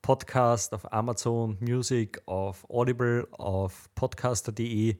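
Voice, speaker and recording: Austrian man, mid-pitched, in casual banter. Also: steady tone, a little slow, a little mellow. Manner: teasing, jokey. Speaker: podcast co-host.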